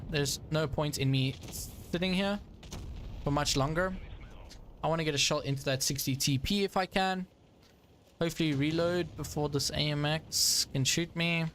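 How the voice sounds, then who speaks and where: A man's voice: fairly high pitch, normal speed, pauses often, fairly bright tone, slight background noise. A young man giving casual live commentary while playing a tank game, chatty with frequent fillers and frustrated asides. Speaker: gaming streamer.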